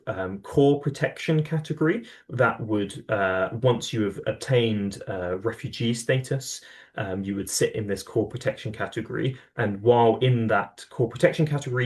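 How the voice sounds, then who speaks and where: Hesitant low-voiced man. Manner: hesitant, many fillers and restarts. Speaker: advocacy coordinator. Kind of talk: explaining policy in conversation.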